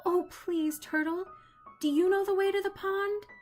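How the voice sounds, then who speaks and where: Woman doing a squeaky, frightened little duckling. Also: steady tone, a little slow, faint music behind. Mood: pleading. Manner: pleading, squeaky and high. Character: small frightened lost duckling.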